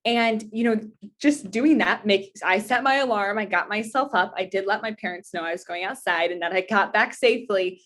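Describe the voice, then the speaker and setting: A woman's voice: mid-range voice, moderate pace, fairly bright tone. A woman in her twenties talking earnestly and at length as an interview guest, in a conversational, anecdotal style. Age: 20s. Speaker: interview guest, pageant titleholder.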